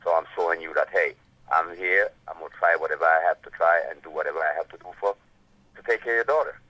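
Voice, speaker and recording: Deep, sharp-edged Belizean man calling in. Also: quick pace, no room sound, dull audio. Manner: earnest, insistent, repetitive. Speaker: radio show caller. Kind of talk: casual phone-in opinion.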